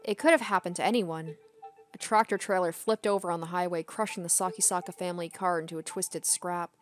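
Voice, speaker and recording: A woman's voice: lowish voice, steady tone, fairly quick. A woman streaming a game, reading visual novel narration aloud with casual asides. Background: soft game music.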